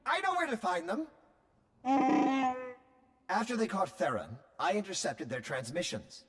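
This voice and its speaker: A prim, talkative droid, cheerfully tactless and morbid. His voice is somewhat high and bounces around a lot, with a husky edge. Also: wildly swooping pitch, measured pace, fairly bright tone, full character voice. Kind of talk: video game voice acting.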